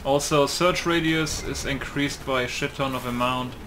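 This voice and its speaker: A man's voice: medium pitch, fairly even intonation, normal speed. A man giving casual, rambling gameplay commentary with muttering and offhand swearing. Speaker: gaming video creator. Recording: noisy home setup, loud computer.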